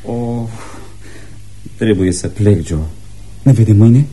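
An actor playing a shy, earnest, devoted young sailor in love, speaking hesitantly and tenderly in a radio theatre style. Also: male voice, lowish voice, dark tone, breathy edge, rough recording.

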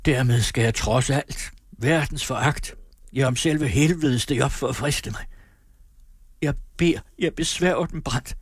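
A man performs a rejected suitor from a classic novel, wounded and bitter. The delivery is declamatory and melodramatic. The voice is somewhat husky and closely recorded, with little room sound. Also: mid-range voice, no room sound, studio quality, pitched above the performer's own voice, natural voice. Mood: anguished pleading. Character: bitter rejected suitor, pleading then raging and threatening.

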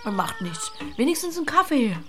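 A woman's voice: high voice, very animated, normal speed, over music. An actress in an audio drama playing an earthy, lonely farm woman who speaks in a plain, musing, matter-of-fact way, blunt but tender. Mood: evasive, practical. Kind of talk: audio drama acting with inner monologue.

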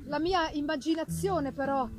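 A woman playing an obsessed male scientist in a dramatic gothic novel reading, theatrical and emphatic, with drawn-out words and dramatic pauses. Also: high voice, lightly acted. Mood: brooding, intense. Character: obsessed male scientist confessing his dangerous discovery, exalted and haunted. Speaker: stage reader. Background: live musical accompaniment.

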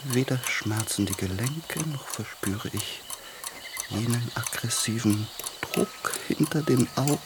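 A male actor plays an effusive, self-absorbed musician in a radio drama. He has a mid-pitched voice and savors his words in a mannered, rapturous way. Loud ambient noise surrounds him. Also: a little slow, close to an everyday voice. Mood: rapturous, languid. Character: effusive, self-absorbed male German pianist and former hypochondriac. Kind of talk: German radio play acting.

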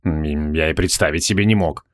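A man voicing a proud, irritable mage in a fantasy audiobook, muttering tersely and pausing to think. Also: extremely low pitch, pitched below the performer's own voice. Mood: pensive. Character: proud, irritable male mage, gruff and brooding.

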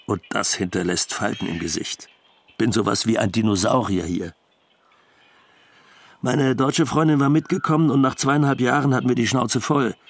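A man's voice: mid-range voice, normal speed, a little hiss or hum, natural voice. Wry talkative expatriate doctor played by a man. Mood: dry, reflective. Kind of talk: documentary radio play monologue, conversational storytelling.

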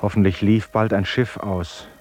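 Actor as measured, determined male inmate. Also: fairly low pitch, flat delivery, darkish tone, soft background music, close to an everyday voice. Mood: reflective narration. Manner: measured, deliberate, insistent. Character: determined, sober male asylum inmate, narrating his escape. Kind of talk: German radio drama acting and first-person narration.

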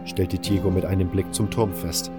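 A man's voice: sits low, little pitch movement, normal speed. A man narrating a scripted fantasy story in the first person as its narrator. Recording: music behind the voice. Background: music.

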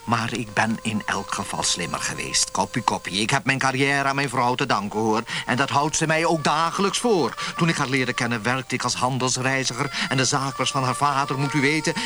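A man's voice: mid-range voice, lightly acted. An actor in a radio play voicing a henpecked, cynical married businessman who grumbles in a world-weary way and repeats himself. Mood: wry boasting.

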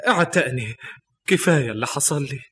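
An actor playing an anguished, pious young captive prince with an Egyptian accent, pleading and stammering in a declamatory radio drama style. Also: male voice, mid-range voice, expressive, a little fast, fairly bright tone, husky. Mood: sorrowful pleading. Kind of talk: classic radio drama acting.

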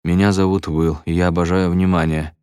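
A man's voice: deep voice, very animated, unhurried, no room sound. Meek schoolboy, acted by a male narrator, picked on, hesitant delivery, dry recording, audiobook. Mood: mumbled, resigned. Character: shy schoolboy, picked on, newly talking again.

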